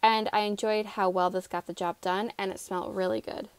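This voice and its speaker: A woman's voice: medium pitch, steady tone, brisk, clear, resonant tone, dry recording. A woman in her twenties with an American accent, narrating a casual home vlog in a chatty, upbeat manner. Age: 20s. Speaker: home vlogger.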